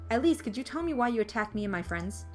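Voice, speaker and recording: A woman's voice: fairly high pitch, big pitch swings, fast, a little mellow. Woman as young visual novel protagonist, blunt, testy, exasperated, music behind. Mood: annoyed, serious.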